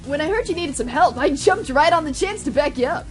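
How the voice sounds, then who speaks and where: A woman's voice: high-pitched, animated, brisk, faint music behind. A woman playing a cool, brash, casual pony DJ as a guest on a radio play talk show. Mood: upbeat, cool. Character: cool, laid-back, brash female pony DJ.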